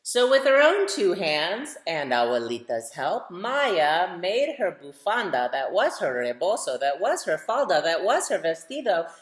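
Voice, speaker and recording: A woman's voice: fairly low pitch, very animated, measured pace, few pauses. A woman reading a picture book aloud to children, expressively, putting questions to her young listeners. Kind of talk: storytime read-aloud for children. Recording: roomy, echoing sound.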